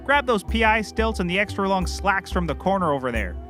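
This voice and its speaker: A man's voice: high-pitched, big pitch swings, fairly quick, fairly bright tone, faint music behind. An actor playing a bumbling, eager and clueless male private eye in a scripted comedy, chatty and naively confident. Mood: busy planning. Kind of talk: scripted comedy audio drama.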